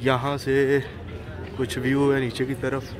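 A man's voice: medium pitch, completely flat delivery, slow. A man, walking outdoors and chatting casually as he points out shops and food. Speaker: travel vlogger. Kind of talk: casual walking tour vlog. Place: busy hill-town street outdoors. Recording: crowd noise around the speaker. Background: crowd of passers-by.

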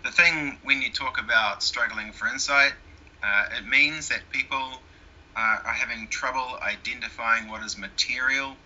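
A man's voice: medium pitch, normal speed, sharp tone. A man with a New Zealand accent, a guest talking casually in an interview. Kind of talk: conversational interview. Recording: dull lo-fi sound.

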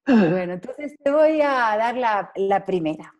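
A woman's voice: mid-range voice, expressive, a little slow, darkish tone, dull audio. A woman from Argentina with an Argentine accent, interviewing on a video call in a friendly way, laughing and searching for words. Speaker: interviewer, facilitator.

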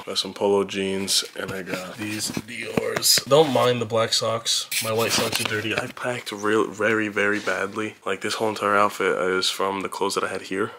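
A man's voice: fairly low pitch, vocal fry. A twenty-year-old American man vlogging casually, with slangy, crude, teasing talk and plenty of swearing. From New Jersey, United States. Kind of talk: casual vlog chat to camera, heavy swearing. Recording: noisy handheld vlog audio.

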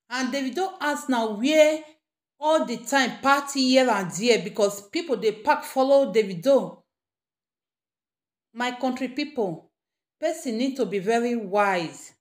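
A woman with a Nigerian accent giving informal gossip commentary, addressing her listeners directly and emphatically. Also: on the high side. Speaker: gossip vlogger. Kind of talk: informal news commentary in Pidgin. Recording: roomy, echoing room sound.

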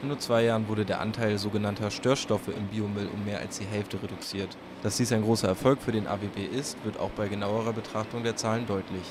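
Guy doing news voiceover, lowish voice, outdoor noise behind him. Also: steady tone, fast, hardly any pauses. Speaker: news reporter. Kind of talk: scripted news narration. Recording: clear outdoor background noise under narration.

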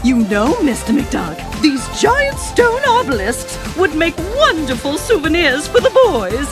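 Fussy, high-pitched cartoon nanny. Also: female voice, sing-song, a little slow. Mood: cheerful. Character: fussy, bossy older motherly nanny bird. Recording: game cutscene with music underneath.

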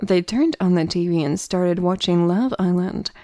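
Lower-pitched woman narrating a story. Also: moderate pace, mellow, no room sound.

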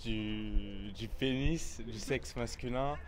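Young man, hesitant street vox pop, clear traffic noise behind. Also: on the low side, slow pace. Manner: hesitant, searching for words. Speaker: passer-by interviewed.

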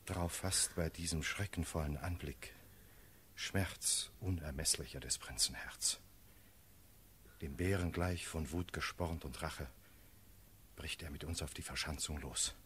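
An actor playing a grave cavalry officer, giving a solemn, measured verse report in a classical radio play. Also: male voice, very deep voice, a bit bright, a little hiss or hum.

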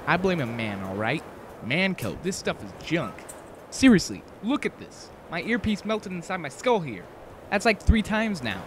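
Cocky young cartoon braggart, male actor: motormouth, animated swings, higher voice. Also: moderate pace, slight background noise. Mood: exasperated, brash.

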